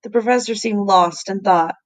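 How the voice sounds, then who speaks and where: A woman, a teacher, reading a children's novel aloud in an expressive storybook style. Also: medium pitch, moderate pace.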